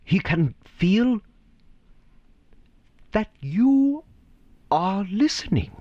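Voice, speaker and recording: A man narrating a scripted story theatrically, with dramatic, deliberate phrasing and pauses. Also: sits high, very animated, warm tone, no echo, muffled recording.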